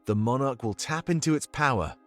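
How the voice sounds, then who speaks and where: Guy voicing confident rebel strategist in a furry game, lively intonation, formal briefing, music behind. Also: medium pitch, brisk, close to an everyday voice. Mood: resolute, briefing. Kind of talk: scripted visual novel game dialogue.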